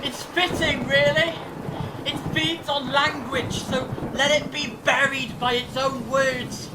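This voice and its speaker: An actor playing a clever, grave time-travelling adventurer in a fan audio drama, explaining things in a measured, dramatic way. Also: steady tone. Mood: resolute. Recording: distant mic, rumbling storm-like noise.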